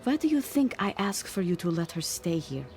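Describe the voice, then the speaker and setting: An actress with a German accent playing a gentle, wise professor's wife in a radio drama. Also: female voice, lowish voice, big pitch swings, normal speed, a little hiss or hum. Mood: gently reassuring.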